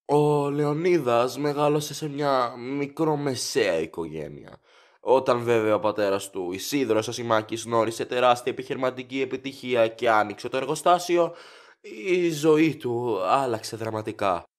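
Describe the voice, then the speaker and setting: A man's voice: mid-range voice, lightly acted. A man playing a courteous, chatty factory director in an audio drama, formal, rambling and eager to please.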